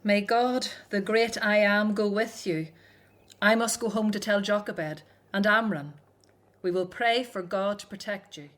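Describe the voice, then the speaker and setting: A woman's voice: mid-range voice, unhurried, natural voice. An actress playing a devout, caring Hebrew woman, a prophet's older sister, in a radio drama. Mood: prayerful.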